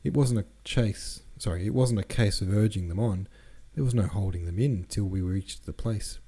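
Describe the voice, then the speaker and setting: A man as a deep-voiced cheeky young peasant driver. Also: normal speed, dark tone, husky. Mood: boastful. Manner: respectful address, boastful storytelling. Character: cheeky, deferential young peasant troika driver, male, twenties.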